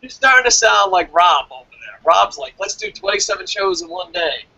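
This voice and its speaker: A man casually co-hosting a review show, reading out comments and answering them in a blunt, animated, sweary way. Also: sits high, brisk, vocal fry, slightly rough, dry recording, low-quality recording. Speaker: co-host of a wrestling review show.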